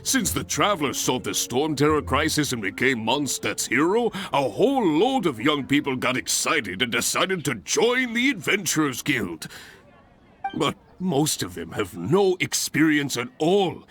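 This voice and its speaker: A man's voice: fairly high pitch, very animated, a little slow, a bit bright. A man voicing a blustery, grumbling guild branch master in a video game, with a theatrical, exasperated delivery. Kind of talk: scripted video game character acting.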